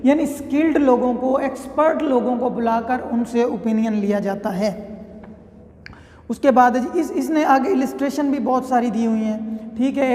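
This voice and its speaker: A man's voice: very high-pitched, dark tone. A man giving a casual, explanatory law lecture, often checking in with his listeners. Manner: conversational, keeps checking in with "theek hai". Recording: echoey room with long reverb.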